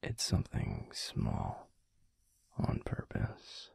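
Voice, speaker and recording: A man voicing a gentle, protective alpha werewolf boyfriend in an intimate ASMR roleplay, murmuring slowly and haltingly in a breathy, gravelly near-whisper. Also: no room sound. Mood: calm, reflective. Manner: slow, halting, soothing murmur with pauses.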